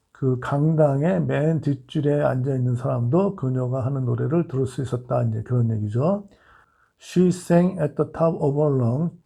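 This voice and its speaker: A man teaching English phrases, explaining in Korean and reading each English example sentence slowly and deliberately. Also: medium pitch, gravelly, dark tone, husky.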